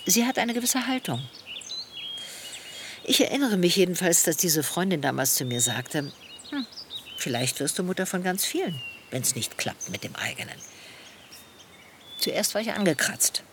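A thoughtful middle-aged woman in a radio play, looking back on her life with earnest longing. Her delivery is measured and articulate, with a very lively melody. Birds and outdoor noise are clearly audible behind her. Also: on the low side. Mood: reflective, earnest. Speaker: main character in a radio play. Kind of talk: reflective first-person radio play dialogue.

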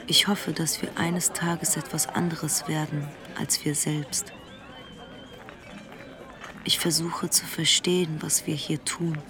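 An actor playing a rootless, searching immigrant in a measured, reflective radio play monologue. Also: male voice, fairly high pitch. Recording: clear outdoor urban noise.

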